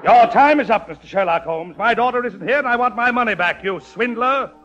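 A man's voice: very high-pitched, fairly quick, fairly bright tone, lo-fi sound, soft background music. An actor playing a pompous, easily flustered town burgomaster and indignant father in old-time radio comedy. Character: blustering, indignant male Dutch burgomaster, anxious father. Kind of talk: 1940s-50s radio comedy acting.

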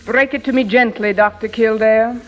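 A woman playing an exasperated, sarcastic wisecracker with brisk retorts in a 1940s radio comedy. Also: on the high side, fairly even intonation, muffled recording, lightly acted. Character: wisecracking, exasperated woman sparring with a fussy comic. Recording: noisy vintage 1940s radio recording.

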